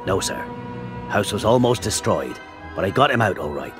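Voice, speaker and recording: An actor voicing a big, kindly, rough giant groundskeeper in a video game, hearty and rustic. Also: male voice, lowish voice, big pitch swings, moderate pace, music in the background. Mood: respectful. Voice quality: gravelly.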